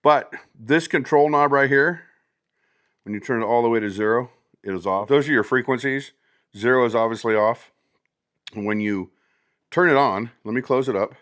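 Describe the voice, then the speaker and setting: A man's voice: medium pitch, moderate pace, pauses often, a bit bright. A man talking casually and conversationally as a product reviewer, showing items step by step in an unboxing video.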